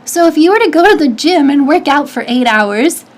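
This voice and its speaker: A woman streamer answering her chat in casual talk, with a high-pitched, slightly nasal, stuffy voice, chatty and full of restarts. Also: animated, normal speed. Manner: chatty, advice-giving, lots of restarts and fillers. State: stuffy nose.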